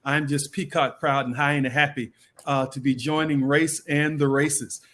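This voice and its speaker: A man's voice: on the high side, level tone, measured pace, fairly bright tone. A man who is a political candidate, speaking as an interview guest in an upbeat, colorful way. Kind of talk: interview answer.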